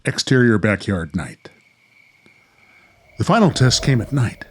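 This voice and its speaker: A man's voice: medium pitch, sing-song, a little slow, slightly rough. A man hosting and narrating a radio play in a theatrical, dramatic storyteller style. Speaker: host and narrator.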